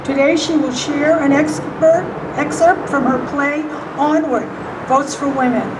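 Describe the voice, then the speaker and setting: A woman's voice: high voice, steady tone, a little slow. A woman introducing a speaker at an outdoor march, reading from notes with small slips. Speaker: event organizer introducing speakers. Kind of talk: event introduction. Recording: distant mic with loud outdoor noise.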